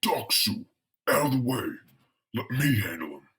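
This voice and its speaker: A man voicing a gruff, cocky rock-monster superhero with blustering tough-guy talk in a comic-book drama. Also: mid-range voice, big pitch swings, a little slow, sharp tone, voice pushed up. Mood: commanding.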